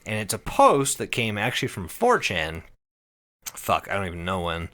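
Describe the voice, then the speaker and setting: A man's voice: fairly low pitch, huge pitch swings, moderate pace, sharp tone. A man co-hosting a podcast, telling stories casually in an emphatic way with plenty of swearing. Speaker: podcast co-host.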